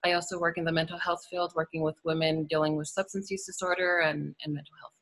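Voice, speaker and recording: A woman's voice: low voice, level tone, fast, a bit bright. A woman who chairs a caucus and works in mental health, introducing herself at a meeting. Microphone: video call.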